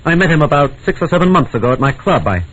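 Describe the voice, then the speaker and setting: A man's voice: fairly high pitch, very animated, quick pace, fairly bright tone. A man playing a puzzled, defensive wealthy client in a 1940s radio drama, hesitant and proper. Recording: dull old radio recording.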